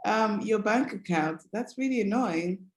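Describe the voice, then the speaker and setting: A woman's voice: medium pitch, expressive, a little slow, reverberant. A woman hosting a career interview series, upbeat and effusive with praise for her guest. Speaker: interview host.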